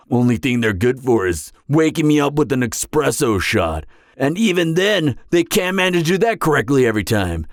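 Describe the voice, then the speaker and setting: A man's voice: a little high, vocal fry, no echo, studio quality. A young man playing a charming, vain businessman supervillain on a blind date in a romantic comedy audio roleplay, talking conversationally and sarcastically to the listener. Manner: conversational, animated, exasperated venting with sarcastic edge.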